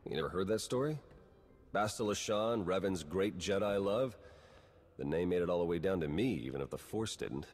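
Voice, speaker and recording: Game spy agent, young man: low, rough-edged voice, dry sarcasm, driven, heard in long reverb. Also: expressive, moderate pace, darkish tone. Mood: determined, wry. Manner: dry, sardonic. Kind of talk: video game cutscene acting.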